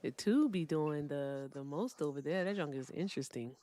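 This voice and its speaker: A woman's voice: low-pitched, lively intonation, normal speed, warm tone. A woman hosting a casual livestream debate, blunt and profane, laughing often.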